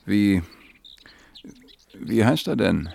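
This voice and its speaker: Low, creaky, laconic disc jockey. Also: male voice, sing-song, drawn-out words, darkish tone, full character voice. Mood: wry, flirtatious. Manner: laconic, dry, clipped phrases. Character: mid-thirties male mobile disc jockey, wry, flirtatious economist. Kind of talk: naturalistic German radio play dialogue.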